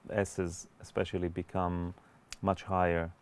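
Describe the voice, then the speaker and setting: A man in his forties giving an explanatory tutorial as a music producer, in a measured, hesitant way. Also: low voice, little pitch movement, heavy background noise. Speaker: music producer and mixing instructor. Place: indoor recording studio.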